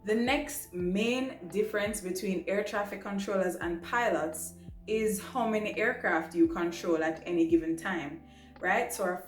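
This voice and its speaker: A woman presenting a casual explainer vlog in a conversational way, often checking in with the listener. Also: lowish voice. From Jamaica. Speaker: vlog host, air traffic controller and pilot. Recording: roomy echo, music behind. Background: background music.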